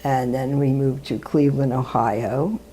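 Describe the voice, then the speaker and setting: Seventies woman, really low raspy voice, laughs a lot, soft faint room hum. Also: fairly even intonation, a little slow, low-quality recording. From Brooklyn. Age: 70s. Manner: laughs easily, exclaims. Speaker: interviewee, retired teacher. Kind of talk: casual interview answers.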